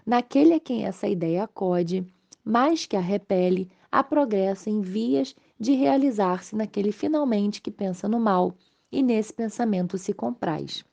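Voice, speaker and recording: A Brazilian woman with a slightly hoarse voice from a mild cold, giving an informal, explanatory religious study talk to viewers. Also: medium pitch, a little slow, warm tone, lo-fi sound. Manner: explanatory, conversational, checking in with tag questions. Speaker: host of a spiritist study channel.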